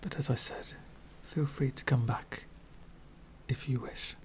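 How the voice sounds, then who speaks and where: Slow, whispering elegant male vampire acted by a man. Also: medium pitch, fairly even intonation, a little hiss or hum, lightly acted. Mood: gracious, wistful. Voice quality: soft, breathy whispering. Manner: formal, wistful, slow and deliberate. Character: centuries-old elegant male vampire, lonely, courteous. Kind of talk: ASMR roleplay monologue.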